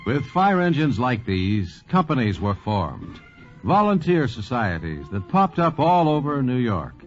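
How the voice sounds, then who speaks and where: A man's voice: mid-range voice, big pitch swings, measured pace, soft background music. A man delivering scripted narration in 1940s radio style. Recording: dull vintage 1940s radio recording.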